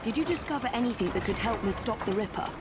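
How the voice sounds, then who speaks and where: A woman's voice: on the high side, animated, fast, heavy background noise, lightly acted. An actress playing a resolute, composed woman detective hunting a killer in a video game drama. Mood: composed resolve. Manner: composed, determined.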